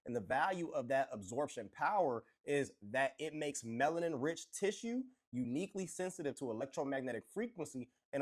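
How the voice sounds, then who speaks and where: A man with an ordinary mid-range voice reads a scripted narration. He speaks in long, flowing sentences at an even, explanatory pace. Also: mid-range voice.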